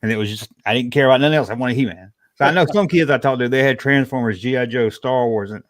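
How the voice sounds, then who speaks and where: A middle-aged man from the American South chats as a guest on a livestream, with a clear Southern accent. His talk is folksy and colloquial, in a medium-pitched voice, and the sound is close and dry. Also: fast, dry recording. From Georgia, US South. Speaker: livestream guest, toy collector. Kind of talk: casual chat, answering viewer questions.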